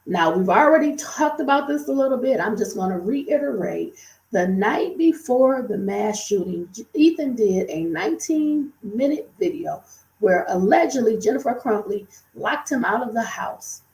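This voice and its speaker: A woman's voice: a little high, expressive, normal speed, a little mellow. Chatty woman with a strained voice in an echoey room. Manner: chatty, repeats and restates, many fillers. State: recovering from a cold, voice strained. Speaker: true-crime commentator. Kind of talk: casual commentary to viewers.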